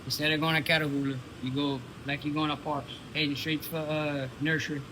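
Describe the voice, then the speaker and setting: Young Cajun man, slightly high, noisy. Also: level tone, a little fast, sharp tone. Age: young adult. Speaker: interviewee. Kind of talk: street interview clip. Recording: outdoor clip with humming noise.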